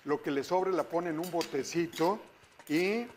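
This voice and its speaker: A man's voice: a little high, measured pace, a little hiss or hum. Upbeat man hosting a TV cooking show. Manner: upbeat, chatty, keeps calling viewers "familia". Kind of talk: cooking demonstration, addressing viewers. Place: TV studio kitchen set.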